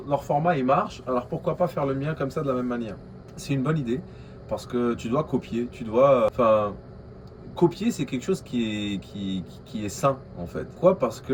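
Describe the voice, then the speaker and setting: A man with an Algerian accent talks straight to his camera in a casual vlog. He rushes through his thoughts, stumbling over fillers and restarts. His voice sits at a middle pitch, with a faint hum of room noise behind him. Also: a little mellow. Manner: quick and hesitant, many fillers and restarts. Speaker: vlogger. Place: coworking space in a tower.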